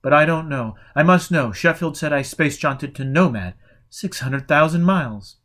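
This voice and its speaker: A man's voice: on the high side, expressive, moderate pace, fairly bright tone. A man reading the part of a confused, desperate man in an audiobook, questioning urgently. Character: confused, desperate man lost between life and death.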